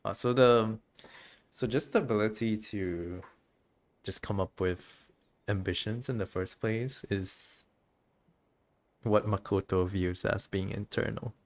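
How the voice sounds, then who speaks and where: A woman's voice: extremely low pitch, slow, pauses often, a little mellow. A woman doing casual, chatty, giggly gameplay commentary as a game streamer. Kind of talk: casual gameplay commentary, reading dialogue aloud.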